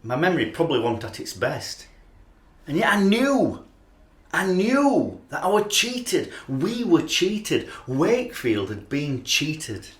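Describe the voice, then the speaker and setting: An actor with a Yorkshire accent playing an ageing, obsessed rugby league fan in a radio play, confessional and tormented by doubt. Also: male voice, fairly high pitch, wildly swooping pitch, slow pace, fairly bright tone, heavily acted. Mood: anguished, regretful. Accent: Yorkshire English. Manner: anguished, confessional, searching. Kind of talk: radio play acting, emotional monologue and dialogue. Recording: roomy, echoing voice recording.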